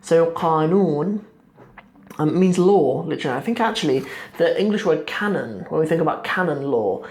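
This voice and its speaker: A young man in his twenties teaching Arabic poetry line by line, thinking aloud with many pauses and restarts, seated at a desk in a small room. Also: on the high side, animated, a little mellow. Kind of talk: explaining a poem line by line.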